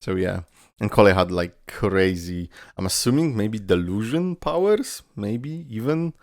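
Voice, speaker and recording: A man's voice: lowish voice, animated, measured pace, no echo, studio quality. A man who is a native Polish speaker, giving casual, rambling reaction commentary that often trails off and repeats words. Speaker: YouTube reaction host. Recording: music playing behind. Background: game video music.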